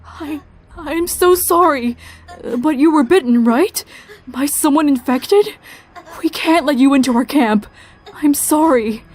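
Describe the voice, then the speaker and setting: A woman playing a frank, caring camp doctor in an audio drama, earnest and gentle with some hesitation. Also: high voice, a little slow. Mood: distressed, apologetic.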